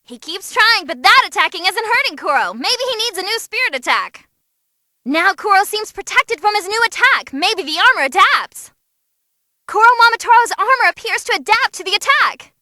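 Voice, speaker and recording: An actress voicing an excitable, cheeky young female ring announcer, giving animated, theatrical fight commentary in a video game. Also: very high-pitched, moderate pace, dry recording, clean studio sound, heavily acted. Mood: lively commentating. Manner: perky, theatrical, wisecracking. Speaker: match commentator.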